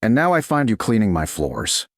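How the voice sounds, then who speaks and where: A stern duke as a male narrator plays him in a romance story, terse and demanding. His low voice carries disbelief and hurt, with lively swings of pitch, recorded very dry. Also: moderate pace, no room sound. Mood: cold disbelief. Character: stern, wounded, disbelieving duke, adult man.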